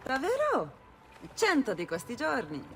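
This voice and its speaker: A woman's voice: high-pitched, huge pitch swings, moderate pace, a bit bright, a little hiss or hum, exaggerated voice. An actress playing a playful, teasing, affectionate Italian woman confiding in a friend, with an Italian accent, in a game cutscene. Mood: teasing, fond. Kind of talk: dramatic video game cutscene acting. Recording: music behind.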